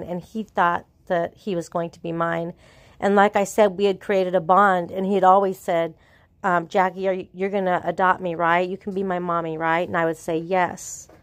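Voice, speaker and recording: A middle-aged woman with a cold, sounding stuffy and sore-throated, telling a personal story in a rambling, earnest way with restarts and trailing pauses. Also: medium pitch, no echo. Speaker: vlogger. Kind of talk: casual storytelling to camera.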